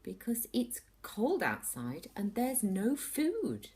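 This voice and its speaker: A woman's voice: mid-range voice, wildly swooping pitch, takes it slowly, darkish tone, slightly rough, voice pushed up, exaggerated voice. A woman voicing a firm, indignant father tortoise in a storybook. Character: stern father tortoise, sleepy.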